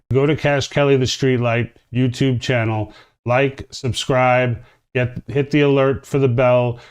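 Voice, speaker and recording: Smooth-voiced male podcast host. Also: mid-range voice. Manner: earnest, emphatic explaining. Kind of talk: commentary on video clips.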